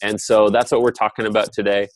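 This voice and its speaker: A man with a fairly low voice hosts a webinar panel. He talks informally and conversationally, as on a group call. The sound is dry, with very little room in it. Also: little pitch movement, fast talker, no room sound. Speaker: host moderating an online panel. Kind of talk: casual webinar hosting. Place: online video call.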